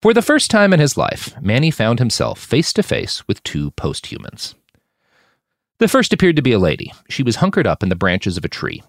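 A man's voice: fairly low pitch, dry recording, clean studio sound. A man reading a fiction story aloud as a dramatic audiobook narrator. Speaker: author narrating his fiction podcast.

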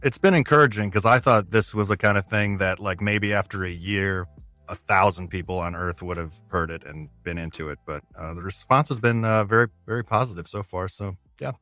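A man's voice: low-pitched, a little fast, bright, forceful tone, no room sound, faint music behind. A man chatting casually as an interview guest, hesitant, modest and understated in how he talks. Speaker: interview guest, audio fiction podcast creator.

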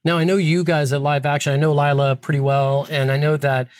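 A man's voice: fairly high pitch, level tone, fairly quick, no room sound, clean studio sound. A man hosting a podcast, reading an upbeat intro and then interviewing a guest in a friendly manner. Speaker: podcast host.

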